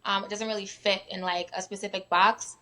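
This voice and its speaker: A woman's voice: medium pitch, fairly quick. A young woman from New York, chatting casually as a guest musician with lots of "um" and "like". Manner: relaxed, many fillers like "um" and "like". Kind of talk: casual interview chat. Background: sirens at times.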